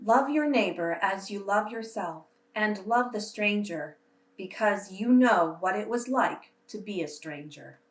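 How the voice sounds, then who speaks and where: A woman reads a picture book aloud for children at an unhurried pace. Her voice sits at a middle pitch. She is recorded at some distance from the microphone in an echoey room. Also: animated. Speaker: read-aloud storyteller for children. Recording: roomy echo, distant microphone.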